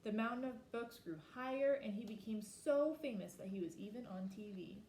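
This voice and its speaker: A woman reading a children's picture book aloud expressively, slowing down for emphasis and pausing to ask her listeners questions. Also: a little high, darkish tone, reverberant, a little hiss or hum, rough recording. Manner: asks listeners reflective questions. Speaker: storybook reader.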